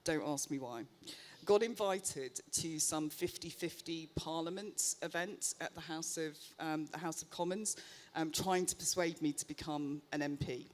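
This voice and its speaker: A woman's voice: deep voice, measured pace. Chatty woman executive on a panel. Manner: chatty, self-deprecating, frequent ums. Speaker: panel speaker, chief executive. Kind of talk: live panel discussion. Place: conference stage. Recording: faint noise, live event ambience.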